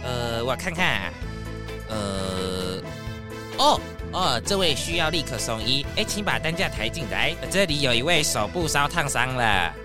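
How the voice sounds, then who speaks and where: A man's voice: mid-range voice, animated, measured pace, over music, lightly acted. A man playing a polite, professional paramedic in a children's audio drama. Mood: businesslike.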